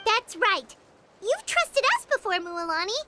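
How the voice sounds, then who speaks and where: A woman voicing a tiny childlike floating game companion, chirpy and brave, with a squeaky voice and exclamatory delivery. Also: big pitch swings, measured pace, heavy background noise, full character voice. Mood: encouraging. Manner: exclamatory, speaks of self in third person. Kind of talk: video game voice acting.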